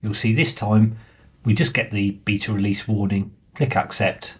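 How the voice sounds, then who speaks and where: A man giving a plain, informal step-by-step walkthrough as the presenter of a software tutorial. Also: fairly low pitch, fairly even intonation, normal speed, breathy edge. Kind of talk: step-by-step screen walkthrough narration.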